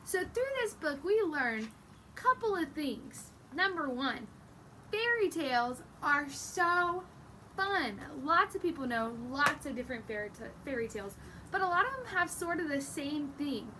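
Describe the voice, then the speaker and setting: A woman with a high voice teaches young children through a storybook read-aloud. She explains things in an encouraging way and addresses the listeners directly, over faint background noise. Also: lively intonation, measured pace.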